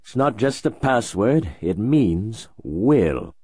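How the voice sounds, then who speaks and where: A calm, enigmatic tycoon, played by a man with a very deep, husky voice that swings widely in pitch. He delivers slow, deliberate pronouncements in a storybook reading. The sound is close and dry, with no room around it. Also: no room sound, exaggerated voice. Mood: cryptic. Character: calm, enigmatic wealthy middle-aged man. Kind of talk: audiobook character voice.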